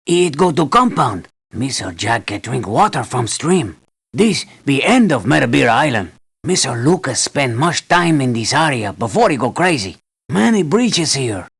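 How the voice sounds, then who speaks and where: An actor playing a simple, friendly native island guide in a video game, speaking broken pidgin English in short plain phrases with a husky voice. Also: male voice, mid-range voice, a bit bright, heavily acted. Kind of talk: video game character voice lines.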